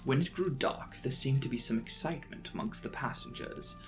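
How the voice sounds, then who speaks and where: A man's voice: medium pitch, a little fast, music in the background. A man narrating a classic novel as a dramatic, scripted audiobook reading.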